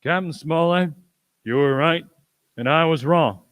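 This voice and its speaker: An authoritative squire acted by a man for a radio play. His voice sits fairly high and is recorded dry. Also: unhurried, fairly bright tone, clear, resonant tone, no room sound. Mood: earnest. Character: authoritative English squire aboard ship.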